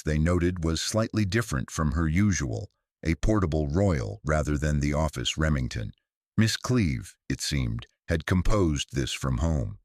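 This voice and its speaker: A man reading scripted mystery fiction as narrator, in a measured, dry and understated way. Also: very deep voice, dry recording. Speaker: narrator of a detective story.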